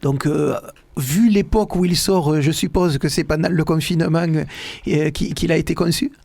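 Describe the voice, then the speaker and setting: A man hosting a live radio interview in a studio, halting and repeating words with many fillers. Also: on the high side, fairly even intonation, gravelly, a little mellow, no room sound. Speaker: radio host. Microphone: studio microphone.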